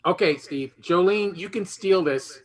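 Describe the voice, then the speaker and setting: A man's voice: sits high, unhurried. A man hosting a game show in an unscripted, joking style.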